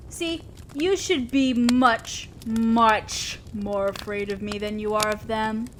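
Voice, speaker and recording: A woman's voice: high voice, fairly bright tone, heavily acted. A woman playing a commanding, teasing but protective demon lord in a scripted audio roleplay, speaking to the listener in an imperious, theatrical way. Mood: stern, probing. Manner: imperious, theatrical, mock-scolding. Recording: faint ambient noise, crackling fire.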